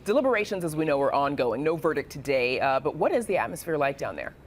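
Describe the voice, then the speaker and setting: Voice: medium pitch, very animated, fast, slight background noise. A news anchor hosting a broadcast panel, reading introductions and putting questions to reporters in a conversational way.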